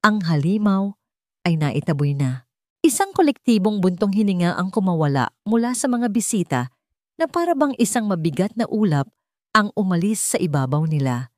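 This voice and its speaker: A woman narrating a scripted drama story in a measured, dramatic storytelling manner. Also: medium pitch, big pitch swings, no room sound, studio quality.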